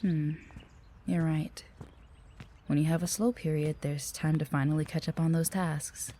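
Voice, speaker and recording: Low, mellow, earnest receptionist, played by a woman. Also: vocal fry, breathy edge, heavily acted. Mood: friendly, probing. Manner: polite, hesitant, softly conversational. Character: friendly, earnest, cautious female office receptionist. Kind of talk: audio roleplay acting.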